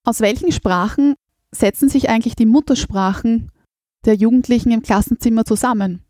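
A woman's voice: fairly high pitch, moderate pace, warm tone, no echo, studio quality. A woman interviewer with an Austrian accent, asking formal and polite questions.

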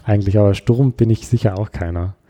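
A man's voice: lowish voice, fast, warm tone. A man with an Austrian accent chatting casually as an interview guest, thinking aloud and often searching for his words. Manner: hesitant, reflective, searching for words.

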